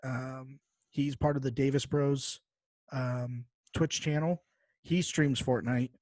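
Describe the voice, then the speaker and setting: A man's voice: medium pitch, fairly even intonation, takes it slowly, darkish tone, no room sound. A man hosting a podcast in casual chat, bantering informally with plenty of slang. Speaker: podcast host.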